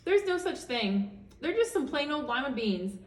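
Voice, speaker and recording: Woman putting on a bright, sweet little old lady. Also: sits high, sing-song, normal speed, voice pushed up. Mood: reassuring. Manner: bright, cheerful. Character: plump, sweet, kindly old woman offering help. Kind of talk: storybook character voice. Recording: echoey room, distant microphone.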